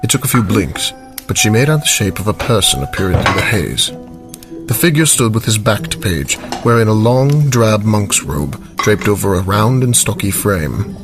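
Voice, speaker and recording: A man's voice: lowish voice, lively intonation, moderate pace. A man narrating a scripted fantasy story for an audio drama in a storyteller's style. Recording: loud music behind the voice.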